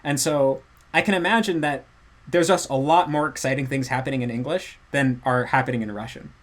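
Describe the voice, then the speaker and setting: A man's voice: medium pitch, fairly quick. A man, a guest in a casual chat, earnestly explaining at length. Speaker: guest language learner.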